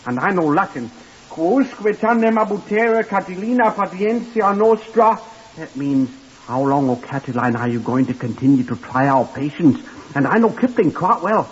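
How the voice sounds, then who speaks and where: A man's voice: fairly high pitch, lively intonation, moderate pace, husky. An actor playing a simple, eager young hotel lift boy who rambles deferentially and calls everyone sir, in old-time radio acting. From Blackpool, Lancashire. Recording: muffled old radio recording with hiss.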